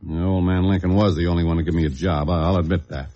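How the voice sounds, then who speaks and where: Male actor as tough older foreman, low voice, curt, defensive, muffled old radio drama. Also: fairly even intonation, quick pace, warm tone, natural voice. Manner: curt, tough-guy talk. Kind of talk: mid-century radio drama acting. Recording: dull old radio recording.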